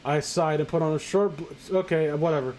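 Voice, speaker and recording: A man reading fan fiction aloud casually, breaking off with sarcastic, halting, sweary commentary. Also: on the high side, fairly even intonation, darkish tone, a little hiss or hum.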